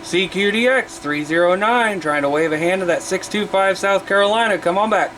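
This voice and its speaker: A high-voiced American man works the CB radio, making casual contacts with other operators. Heavy static and hum surround his voice throughout. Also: brisk. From Iowa, United States.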